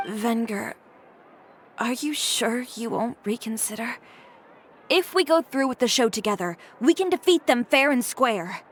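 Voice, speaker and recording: Proud, expressive young designer. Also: female voice, on the high side, unhurried, slight background noise. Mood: urging. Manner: firm, cool, self-assured. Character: proud, headstrong young woman fashion designer. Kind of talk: video game voice acting.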